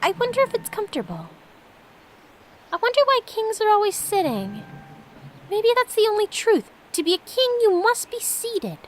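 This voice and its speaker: A high-pitched, innocent young girl played by a woman, full of curiosity and quick to exclaim. Her voice swoops in an animated storybook-game style. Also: slight background noise, rough recording. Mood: wondering. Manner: wondering, exclaiming.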